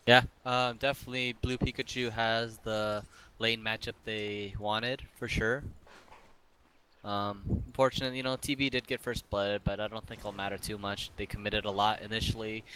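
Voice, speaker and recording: A man with an American accent, co-casting a game match with rambling, hedging analysis, quick to laugh. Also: fairly low pitch, level tone, bright, forceful tone, slight background noise, low-quality recording. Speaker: esports caster. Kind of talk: casual game analysis commentary.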